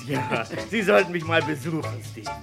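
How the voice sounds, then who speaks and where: A man playing a friendly, wistful elderly neighbour with a creaky voice in a radio crime drama. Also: fairly high pitch, lively intonation, normal speed, loud music behind the voice.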